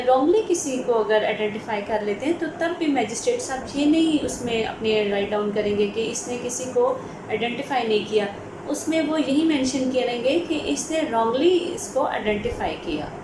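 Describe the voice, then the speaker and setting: A woman with a Pakistani accent explains law in an informal lecture style, dropping in English terms. Her voice sits fairly high. The recording is very echoey, with clear background noise. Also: animated, moderate pace. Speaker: advocate teaching law.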